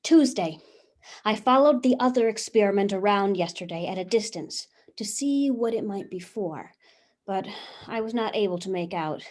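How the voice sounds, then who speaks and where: A woman's voice: medium pitch, expressive, normal speed, a little mellow, natural voice. A woman playing eve, newly made first woman, super curious and talky, reads her diary like she's wondering at everything. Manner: wondering, chatty storytelling diary voice. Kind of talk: stage reading of a comic play. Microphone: video call.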